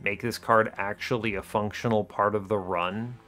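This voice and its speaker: A man's voice: on the low side, fairly even intonation, normal speed, sharp tone. A man giving casual strategy game commentary, thinking aloud and questioning his own choices as he explains his reasoning. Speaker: gaming video host. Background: music behind the voice.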